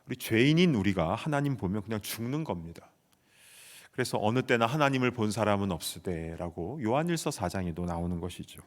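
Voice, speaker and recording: A man in his forties from South Korea preaches in a church, telling a story with earnest conviction. His voice sits on the low side, and a long hall reverb trails every phrase. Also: expressive, brisk. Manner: earnest storytelling, shouting out quoted soldier replies. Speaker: pastor. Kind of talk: sermon. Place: church sanctuary.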